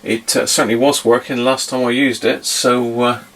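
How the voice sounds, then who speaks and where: A man's voice: mid-range voice, flat delivery, normal speed, fairly bright tone. A man giving a casual hands-on demonstration, thinking aloud with some hesitation. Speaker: vlogger testing old radios. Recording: very noisy with radio static and clicks.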